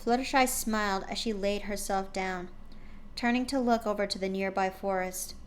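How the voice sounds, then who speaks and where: A woman streamer talking casually with her chat and narrating a fanfic, often halting with fillers and restarts. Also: mid-range voice, fairly even intonation, a little hiss or hum.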